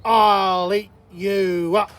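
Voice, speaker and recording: A man voicing a wild, bossy little boy from a storybook, with loud, commanding, drawn-out calls. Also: high-pitched, little pitch movement, slight background noise, voice pushed up, full character voice. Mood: defiant.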